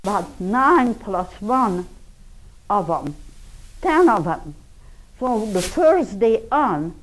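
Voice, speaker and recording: An older woman with a Hungarian accent, speaking in a deliberate, storytelling way in an indoor lecture room. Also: mid-range voice, big pitch swings, a little hiss or hum, low-quality recording. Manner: slow, deliberate storytelling with broken English grammar. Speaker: public speaker. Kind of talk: personal testimony talk.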